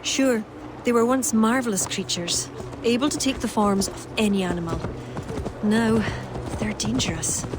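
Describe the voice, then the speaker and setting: An actress playing a wise, composed female ally, calm and explanatory. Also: a little high, normal speed, natural voice. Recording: game audio with ambient noise.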